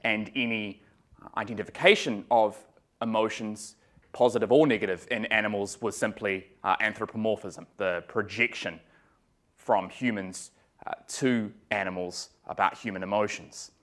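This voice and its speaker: A man with a New Zealand accent giving an academic law lecture in a relaxed way, with many ums and uhs and some dry humor. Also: on the low side, normal speed. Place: lecture room.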